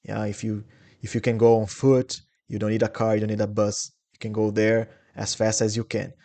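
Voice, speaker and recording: Brazilian man teaching English slowly. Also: on the low side, flat delivery, dark tone. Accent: Brazilian Portuguese. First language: Portuguese. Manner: slow, clear, repeats model phrases. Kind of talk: English lesson.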